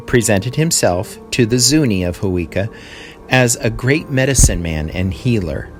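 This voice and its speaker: Man, low voice, teacher read-aloud, scripted history narration, deliberate storytelling delivery, faint music behind. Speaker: teacher reading a lesson aloud.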